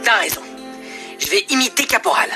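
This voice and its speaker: A woman's voice: on the high side, lively intonation, fairly quick. A woman voicing a cheeky, sulky pet dog in a cartoon, with a theatrical, teasing delivery. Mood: playful. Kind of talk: animated cartoon voice acting. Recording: loud music under the voice.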